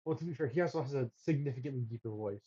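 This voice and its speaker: A man's voice: medium pitch, very fast, warm tone, breathy edge, highs cut off. A man co-hosting a show reaction, teasing his friend in slangy, casual talk and laughing a lot. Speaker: co-host of a reaction channel.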